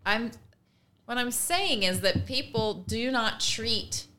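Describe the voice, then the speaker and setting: A woman's voice: a little high, slow pace, pushed and bright. Woman in casual conversational commentary. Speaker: podcast co-host.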